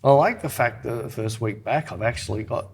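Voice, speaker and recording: A man's voice: medium pitch, fairly quick, slightly rough. A middle-aged man with an Australian accent, co-hosting a podcast with casual banter and anecdotes in a blunt, teasing way. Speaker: podcast co-host.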